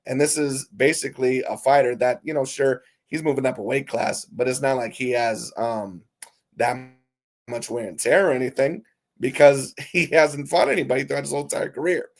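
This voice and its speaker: A man with a hoarse, raspy voice, talking casually on a live stream as host, reading viewer comments and calling his viewers folks. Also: mid-range voice, fairly even intonation, normal speed. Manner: chatty, curses casually, calls viewers folks.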